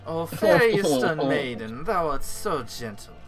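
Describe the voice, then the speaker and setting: A man voicing a theatrical, old-fashioned gentleman who declaims Shakespeare in a hobby game dub. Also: fairly high pitch, sing-song, a little slow, loud music behind the voice. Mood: florid, courtly. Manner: declamatory, grandiose.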